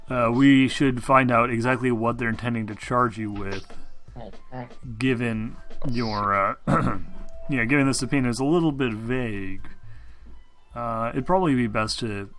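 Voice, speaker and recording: A man running a tabletop game as game master, talking casually and haltingly with some swearing. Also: medium pitch, a bit bright, soft background music. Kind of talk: casual tabletop game narration over a group call.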